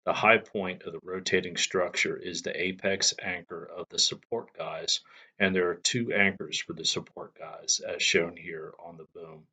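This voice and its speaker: A man giving a measured, matter-of-fact technical narration as the host of a hobbyist channel. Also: low-pitched, fairly even intonation, pushed and bright, breathy edge, low-quality recording. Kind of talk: explanatory scripted narration.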